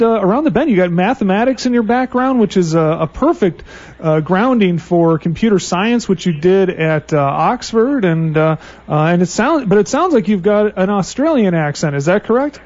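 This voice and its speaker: High-voiced, effusive male radio host. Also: darkish tone. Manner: effusive, rambling, many restarts. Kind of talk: casual interview hosting. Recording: muffled radio broadcast audio.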